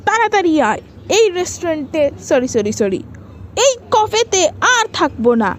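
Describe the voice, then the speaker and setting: A woman's voice: very high-pitched, big pitch swings, normal speed. A woman voicing a stingy, short-tempered cartoon mother with a scolding, nagging manner. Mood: indignant haggling. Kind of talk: cartoon skit dialogue.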